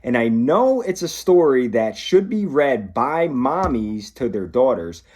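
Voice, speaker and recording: Slow, animated man talking to children. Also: medium pitch. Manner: slow, expressive, addressing children directly. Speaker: police officer reading to children. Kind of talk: children's read-aloud and talk to pupils.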